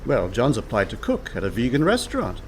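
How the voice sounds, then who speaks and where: A man's voice: medium pitch, huge pitch swings, brisk, a little hiss or hum. An actor playing a cheerful, scheming and overconfident con man in a comic radio play, breezy and persuasive. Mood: confident.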